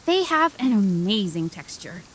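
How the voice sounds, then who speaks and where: A woman's voice: mid-range voice, very animated, unhurried, a bit bright, slightly rough, heavily acted, rough recording. A woman playing a courteous inn waitress presenting dishes in an anime-style game. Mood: helpful. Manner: courteous, descriptive.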